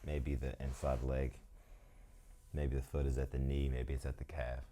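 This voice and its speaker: Very deep, dark-voiced croaky man. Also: flat delivery. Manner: slow, reflective, thinks aloud. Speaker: yoga instructor. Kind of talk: calm guided yoga instruction with musing asides.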